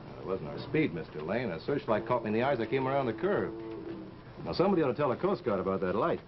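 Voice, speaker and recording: An actor playing a flippant, curious young artist type with a breezy, musing manner in an old-time radio drama. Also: male voice, on the low side, very animated, quick pace. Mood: puzzled, polite. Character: flippant, curious male artist, unbothered by danger. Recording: muffled, noisy old radio recording.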